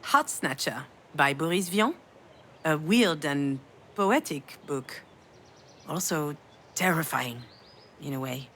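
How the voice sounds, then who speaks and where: A woman's voice: deep voice, animated, slow, fairly bright tone, a little hiss or hum, heavily acted. A woman playing a damaged, grieving mother in a narrative video game, confiding and hesitant as she reflects. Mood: gentle, storytelling.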